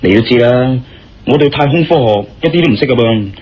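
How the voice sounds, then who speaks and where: An actor playing a casual, curious, impatient adventurer in a radio drama, speaking with a Hong Kong Cantonese accent. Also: male voice, medium pitch, expressive, a little fast, slightly rough. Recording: dull, muffled radio-style recording. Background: background music.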